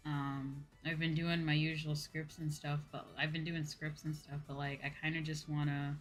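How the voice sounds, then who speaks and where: A young woman chatting casually to viewers on a livestream in an expressive, rambling, self-deprecating way. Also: deep voice, normal speed. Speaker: VTuber streamer. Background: faint background music.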